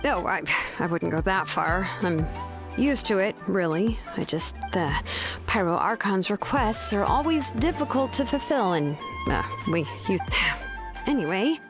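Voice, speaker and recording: A woman's voice: medium pitch, expressive, a little slow, lightly acted. An actress playing a weary, exasperated female master craftsman in a video game, with a hesitant, grumbling delivery. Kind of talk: video game dialogue acting. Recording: game music behind the voice. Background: music.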